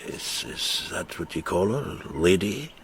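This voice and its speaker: An actor in a radio drama playing a boisterous, teasing farmer who is drunk, slurring and halting as he repeats himself. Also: deep voice, lively intonation, a bit bright, slight background noise, exaggerated voice. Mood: jovial, tipsy.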